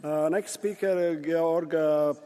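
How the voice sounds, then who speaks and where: A man chairs a parliamentary debate in a mellow, slightly raised voice, stumbling through fillers and restarts. The sound carries a long hall reverb. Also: a little high, steady tone. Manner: halting, many fillers and restarts. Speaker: session chair.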